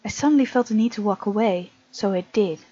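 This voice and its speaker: A woman's voice: medium pitch, quick pace, mellow, husky, no room sound, dull audio. A woman doing a let's play, reading a visual novel aloud with chatty, giggly asides.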